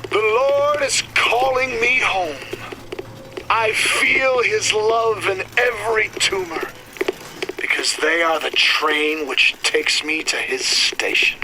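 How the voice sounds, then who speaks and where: An actor playing a zealous elderly prophet and villainous ruler in a video game, preaching in solemn, grandiose, archaic oratory. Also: male voice, high voice, takes it slowly, roomy sound, big-room sound, recorded from a distance, background noise. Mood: solemn, fervent. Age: older. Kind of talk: theatrical sermon-like oratory.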